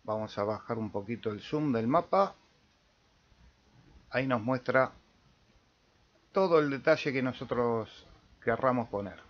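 Slow-paced Argentine man, dull recording. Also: medium pitch, lively intonation. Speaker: amateur radio tutorial host. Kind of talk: casual screen-share software tutorial.

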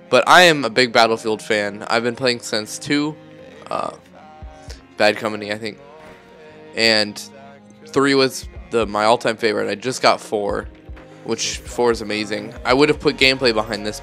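A man's voice: on the low side, pushed and bright. Groggy young man chatting about games. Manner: rambling, chatty, self-correcting. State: sick and groggy. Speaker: gaming YouTuber. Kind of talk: casual commentary over gameplay.

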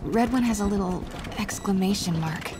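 A plucky, focused young heroine, voiced by a woman in a video game. She speaks naturally at a middling pitch, muttering practically over background music. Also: moderate pace, lightly acted. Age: young adult. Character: plucky, determined young woman on a risky job.

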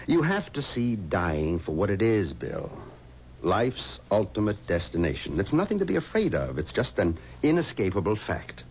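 Lively, low-voiced, confident boss. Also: male voice, moderate pace. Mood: assured. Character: confident, paternal funeral home boss, brisk and dismissive. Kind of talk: 1970s radio drama acting. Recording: dull, faintly noisy 1970s radio recording.

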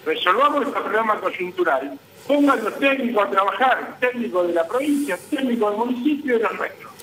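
A man's voice: high voice, lively intonation, brisk, bright, forceful tone, reverberant, a little hiss or hum, rough recording. An Argentine man being interviewed on live news over a call, arguing his case insistently with rhetorical questions. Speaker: guest, transport business association vice president.

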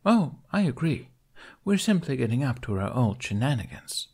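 A bold young burglar heiress from an adventure audiobook, played by a man. She teases with a sly, dry edge, and her pitch moves freely, lifted above the narrator's usual talk. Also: very animated, moderate pace, a little mellow, breathy edge. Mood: arch.

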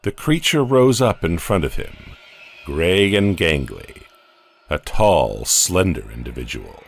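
A man narrating a horror audio comic in a slow, dramatic storytelling manner. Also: low-pitched, sing-song, creaky.

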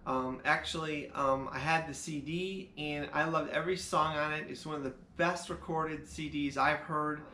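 A man in his fifties with a slightly high-pitched voice talks through an equipment review. He explains technical points step by step and reaches for plain, everyday comparisons. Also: fairly even intonation, moderate pace, hardly any pauses, bright, forceful tone, slight background noise. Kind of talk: product review talk to camera. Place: living room with acoustic panels, indoors.

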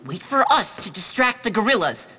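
An actor playing a scheming, self-important nerdy teenage schoolboy in a video game, wordy and melodramatic. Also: male voice, high voice, sing-song, normal speed, sharp tone, exaggerated voice. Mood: grandiose scheming. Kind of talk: video game cutscene acting. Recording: reverberant hall, faint noise.

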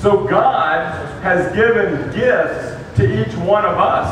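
A man preaching in short, measured phrases that pause for an interpreter. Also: high voice. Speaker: guest preacher. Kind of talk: sermon with live interpretation. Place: large dim auditorium. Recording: echoey hall from far off, noisy.

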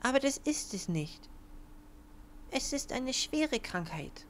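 A woman voicing a gentle toy lion who consoles a child in a reassuring way, in an animated short film. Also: high-pitched, takes it slowly, slight background noise, full character voice. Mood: gentle, consoling.

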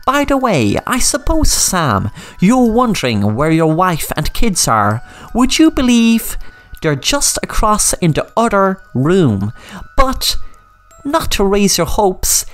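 A woman's voice: fairly low pitch, voice pushed up. A woman voicing a scheming, cruel male villain who taunts his captives in a storybook reading. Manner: gloating, taunting.

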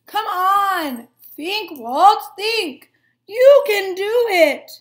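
A woman voicing boastful, excitable little cartoon grass blades from a storybook, with emphatic, drawn-out delivery. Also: extremely high pitch, pitched above the performer's own voice, full character voice. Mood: urgent, impatient.

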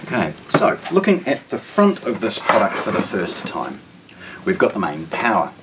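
A man in his forties with a New Zealand accent, giving an unscripted product demonstration in a measured, matter-of-fact way with short, broken phrases. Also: fairly low pitch, huge pitch swings. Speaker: shop presenter of product unboxings. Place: carpeted indoor showroom room with shelves. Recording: distant microphone, clear background noise, handling clicks.

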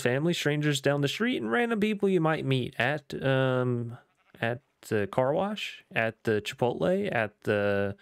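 A man's voice: mid-range voice, creaky, clean tone, dry recording, studio quality. A young man with a slightly worn voice, chatting casually and upbeat while he plays, rambling with fillers as he goes. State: losing his voice. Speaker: gaming YouTuber. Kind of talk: casual gameplay commentary.

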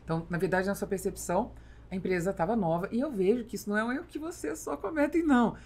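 A woman's voice: medium pitch, fast talker, a little mellow. A woman in her thirties with a Brazilian accent, hosting a conversational business podcast interview in a studio. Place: small foam-padded podcast studio. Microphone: studio microphone on boom arm.

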